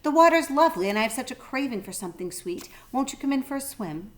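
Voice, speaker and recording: A woman's voice: high-pitched, expressive, quick pace, pitched above the performer's own voice. A woman voicing a sly male crocodile from a children's storybook, with a coaxing, savoring, polite manner.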